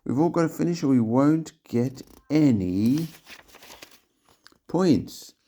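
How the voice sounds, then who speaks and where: A man voicing a bossy, competitive schoolboy who yells with scorn. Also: mid-range voice, expressive, slow, voice pushed up. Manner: yelling and scornful.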